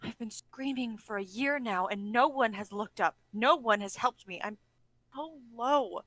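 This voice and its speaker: A woman's voice: a little high, a little slow, no room sound. A woman playing a bitter, despairing, self-loathing young woman kidnapper in a dramatic script reading, volatile and brooding. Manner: broken muttering that snaps into shouting.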